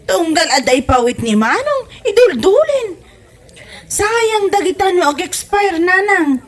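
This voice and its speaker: A woman's voice: extremely high pitch, big pitch swings, measured pace, exaggerated voice. A woman playing an exasperated grown daughter in a comedy audio drama, speaking with an Ilocano accent. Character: exasperated grown daughter nagging her stingy mother.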